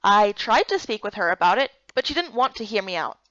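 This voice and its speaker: A woman's voice: medium pitch, big pitch swings, fairly quick, fairly bright tone, no room sound, muffled recording. A woman playing a weary, frustrated young heroine in a fantasy game, plaintive and plain-spoken. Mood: determined.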